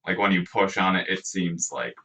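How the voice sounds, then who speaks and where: A game master narrating a tabletop role-playing game in a halting, conversational way. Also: deep voice, bright, forceful tone. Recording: distant microphone.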